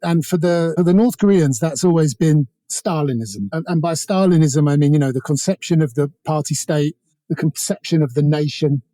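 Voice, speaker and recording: A man's voice: fairly high pitch, normal speed, warm tone, no echo. A man with a British accent giving conversational political commentary as an interview guest, talking discursively with many asides. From Britain.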